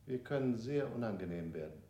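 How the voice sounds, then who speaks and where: An actor playing a quietly menacing male interrogator. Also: on the low side, expressive, a little fast, echoey room, lightly acted. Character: dark, threatening male interrogator.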